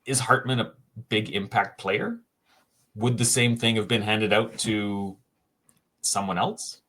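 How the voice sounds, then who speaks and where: A man co-hosting a casual sports podcast, thinking aloud with frequent pauses and restarts. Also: fairly low pitch, steady tone, a bit bright. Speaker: co-host, hockey writer.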